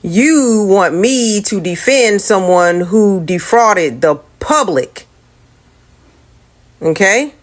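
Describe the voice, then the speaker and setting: A woman's voice: mid-range voice, animated, extremely slow. A woman giving argumentative commentary in an insistent, lecturing way, tagging points with "okay?".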